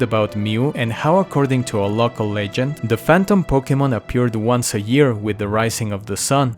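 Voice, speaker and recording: A man hosts an explainer video in a scripted narration style. His pitch is average for a male voice, and music plays behind him.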